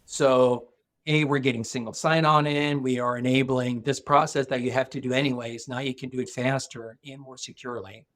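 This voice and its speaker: A man's voice: medium pitch, fairly even intonation, fairly bright tone. A middle-aged man from Norway, a guest speaking thoughtfully in a podcast interview, often restarting his sentences. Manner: thoughtful, measured, with frequent restarts. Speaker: guest, security executive.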